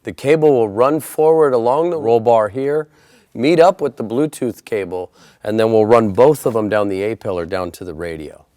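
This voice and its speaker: A man's voice: on the low side, normal speed, darkish tone. A man presenting an installation tutorial in a methodical, plainspoken way, explaining each step in turn. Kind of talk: step-by-step instructional narration.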